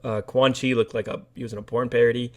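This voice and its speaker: A man's voice: medium pitch, little pitch movement, quick pace, a little hiss or hum. Blunt, wry male podcast co-host. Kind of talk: casual, opinionated film chat.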